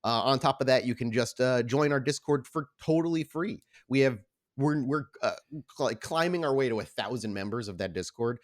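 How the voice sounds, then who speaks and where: A man's voice: mid-range voice, moderate pace. A man speaking informally as the host and storyteller of a tabletop roleplay podcast, making casual announcements to listeners. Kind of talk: casual show announcements and tabletop game narration.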